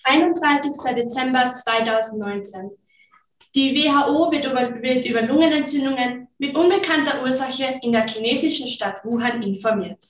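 A woman's voice: high voice, moderate pace. A young woman narrating dates and events in a matter-of-fact, newsreader-like way in a school play. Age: teens. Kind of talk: announcing dates and news like a bulletin. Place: large school classroom. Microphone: far camera. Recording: roomy, distant classroom recording.

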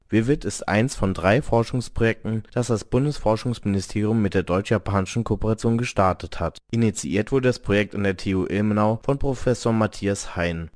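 A man's voice: lowish voice, darkish tone, no room sound, studio quality. A man narrating a scripted radio report as a reporter.

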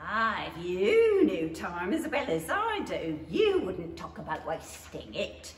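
Lady doing rude nutty hatter, radio play style, slow and swoopy, echoey hall. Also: fairly low pitch, wildly swooping pitch, full character voice. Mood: musing. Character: eccentric, rude, mad Hatter at a tea party. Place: stage in an empty theatre hall. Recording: echoey hall from far off, faint noise.

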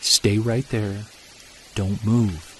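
An actor playing a tense, commanding Bigfoot hunter in an audio drama, terse and authoritative, speaking with hushed urgency. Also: male voice, on the low side, level tone, drawn-out words, slight background noise.

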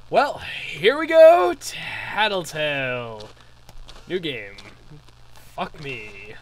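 A young man with a nasal, flu-stuffed voice, commentating a horror game on a headset and yelling at the game when startled. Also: on the high side, very animated, extremely slow, a little hiss or hum. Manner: jumpy, yells at the game. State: recovering from flu. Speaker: gaming youtuber.

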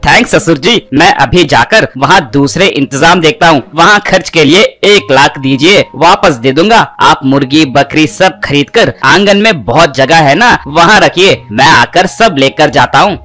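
A man plays a slick young son-in-law in an animated story, all eager sales talk. The voice is bright and forceful, pitched a little high. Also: moderate pace, few pauses, faint music behind. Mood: persuasive. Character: smooth-talking young son-in-law schemer. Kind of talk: cartoon voice acting.

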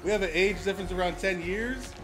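Brief: relaxed thirties male streamer, a bit high-pitched, game music behind. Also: quick pace, rough recording. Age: 30s. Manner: laid-back, chatty, swears casually. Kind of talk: casual gaming livestream chat. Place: home room, indoors. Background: game music and gunfire.

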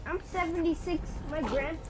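A woman's voice: very high-pitched, moderate pace, a little mellow. A woman commenting on her own game play, whining and teasing other players with playful trash talk. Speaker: gamer. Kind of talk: live gameplay commentary.

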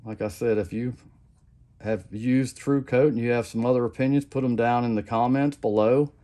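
A man's voice: medium pitch, normal speed. A middle-aged man giving a casual how-to demonstration at his home workbench, rambling and often repeating himself. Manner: rambling, repeats "like I said", relaxed and plain-spoken. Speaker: custom lure maker.

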